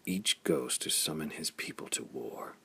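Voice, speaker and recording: A battle-scarred warrior hero from a pulp space adventure, voiced by a man, with a deep, raspy voice. He swings between bitter mutters and loud cries. Rough and heavy throughout. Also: unhurried, heavily acted. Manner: rasping, bitter when low, shouting when roused. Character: scarred young male warrior hero, brooding then fiery. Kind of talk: audiobook character voice.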